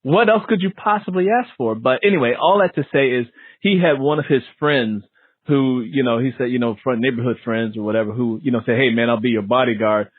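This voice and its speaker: A man in his fifties hosting a casual interview, animated and jokey, acting out reactions as he talks. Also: mid-range voice, fairly quick, no room sound. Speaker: interview host. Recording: muffled narrow phone-like line.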